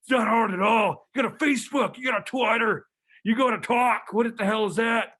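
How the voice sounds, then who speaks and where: A man's voice: very high-pitched, fairly quick, pushed and bright. A man playing a furious, boastful pro-wrestler champion who bellows insults in a wrestling-promo manner. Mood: furious ranting. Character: shouting pro-wrestler-style male champion, furious braggart. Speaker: comedy sketch character.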